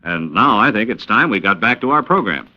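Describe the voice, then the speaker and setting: A man's voice: fairly low pitch, big pitch swings, brisk, sharp tone, soft background music. An American man narrating a scripted anecdote as a 1950s radio announcer, in a folksy conversational way. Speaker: radio public service announcer. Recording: dull lo-fi old radio recording.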